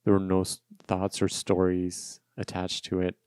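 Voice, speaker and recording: A man's voice: deep voice, completely flat delivery, normal speed, a little mellow, clear, resonant tone, no echo, studio quality. A man whose native language is Russian, telling a personal story in an unscripted solo podcast, reflective and repeating phrases for emphasis. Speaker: podcast host.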